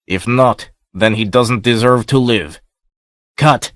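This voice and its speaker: A man's voice: mid-range voice, takes it slowly, a bit bright, no echo, clean studio sound. A man voicing a hard, scornful outlaw chief who speaks coldly and gives orders. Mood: commanding. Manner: cold and commanding.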